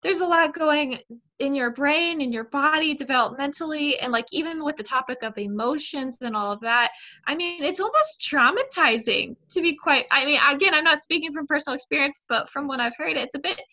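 Bright, chatty woman. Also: sits high, animated, brisk, rough recording. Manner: chatty, with many fillers. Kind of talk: casual conversation.

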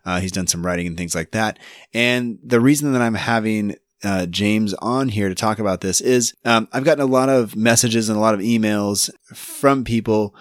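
A man in his thirties hosting a podcast, talking in a relaxed, rambling way with lots of fillers. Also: lowish voice, normal speed, no room sound. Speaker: podcast host. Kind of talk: casual podcast interview and intro.

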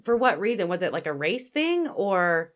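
A woman's voice: on the low side, big pitch swings, normal speed. A woman hosting an interview, chatty and encouraging as she asks questions and affirms her guest. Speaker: interview host. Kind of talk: conversational interview.

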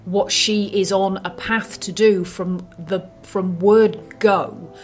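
A woman co-hosting a film podcast, giving analysis in a measured, considered way. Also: mid-range voice, steady tone, soft background music.

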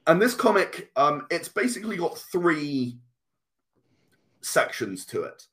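A man speaking as an interview guest, explaining and storytelling conversationally, halting and repeating words as he goes. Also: fairly high pitch, big pitch swings, fairly bright tone. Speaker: podcast guest, comic writer.

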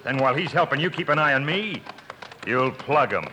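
An actor playing a rough cattle rustler and villain's accomplice, talking in cowboy slang in a western radio drama. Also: male voice, medium pitch, lively intonation, brisk, natural voice. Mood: conspiring. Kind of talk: 1950s western radio acting. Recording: noisy old radio recording.

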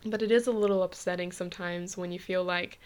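Young female podcast host, casual and chatty, smooth clear tone. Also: lowish voice, steady tone, quick pace, darkish tone. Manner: chatty, laughs easily, restarts phrases.

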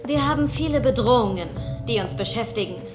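A woman's voice: a little high, animated, a little fast, close to an everyday voice. A woman playing a stern government superior in a dubbed TV drama, speaking in a formal, clipped and menacing way. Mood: stern, threatening. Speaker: voice actor in a dubbed TV series. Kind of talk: dubbed screen drama dialogue. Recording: music clearly behind the voice.

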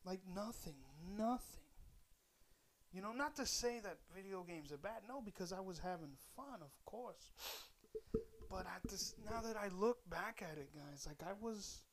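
A man hosting his own podcast in a casual, rambling way, a little hoarse as he comes down with a cold. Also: sits high, animated, measured pace, a little hiss or hum. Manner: rambling, loose, swears casually. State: slightly hoarse, coming down with a cold. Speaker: podcast host. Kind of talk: casual solo podcast chat.

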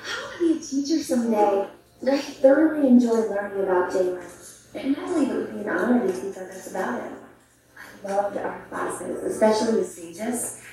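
An actress playing a timid, earnest, idealistic young student in a theatrical audio drama, speaking gently. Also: female voice, mid-range voice, expressive, a little fast, natural voice. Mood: earnest, wistful. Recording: drama playback in echoey room, distant.

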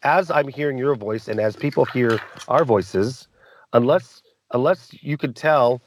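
A man's voice: mid-range voice, a little slow, slight background noise. A man from the United States talking earnestly as an actor guest in a casual interview, often trailing off mid-sentence.

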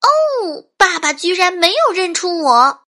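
A woman voicing a bold, excitable little girl in a storybook, exclaiming and wheedling. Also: very high-pitched, unhurried, pitched above the performer's own voice, exaggerated voice. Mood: indignant.

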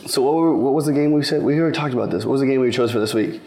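A man co-hosting a casual gaming podcast, laid-back and quick to laugh. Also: mid-range voice, darkish tone. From Southern Arizona. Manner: laid-back, says dude and bro, laughs easily. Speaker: podcast co-host. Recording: roomy echo.

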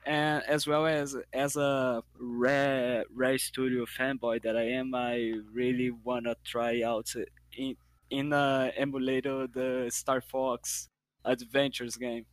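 A man from Brazil with a Brazilian Portuguese accent, co-hosting a casual gaming chat, playful and laughing often, with halting restarts. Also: mid-range voice, little pitch movement, no room sound. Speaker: podcast co-host.

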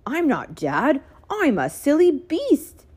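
A playful father tiger from a children's tale, performed by a woman reader. He is silly and jokey in an exaggerated way. The pitch moves around a great deal. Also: wildly swooping pitch, slow, darkish tone, heavily acted.

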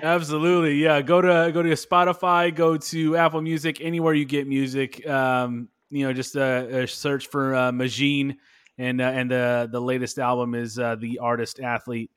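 A man's voice: medium pitch, flat delivery, moderate pace, fairly bright tone, clean tone. Hesitant male podcast host. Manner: hesitant, lots of "uh" and restarts while asking questions. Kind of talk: interview questions.

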